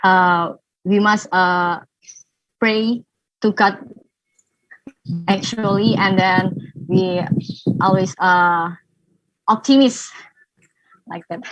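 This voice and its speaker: Slow, shy young woman on a dull line. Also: medium pitch. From Indonesia. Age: young adult. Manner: shy, halting English with fillers. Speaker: student guest. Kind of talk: interview answers. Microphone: video call. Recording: dull video-call audio.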